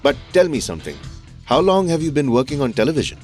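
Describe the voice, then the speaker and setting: A man's voice: fairly high pitch, big pitch swings, a little mellow, faint music behind. A man playing a reserved, courteous middle-aged psychiatrist who speaks formally in an audio drama. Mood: curious, dry. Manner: formal, measured wording. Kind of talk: conversational audio drama acting.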